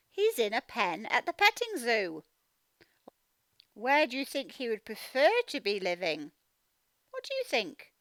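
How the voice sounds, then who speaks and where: A woman's voice: high-pitched, pushed and bright, dry recording. A woman reading a storybook as a phonics teacher, sounding out words and putting questions to young children. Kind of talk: interactive storybook read-aloud lesson.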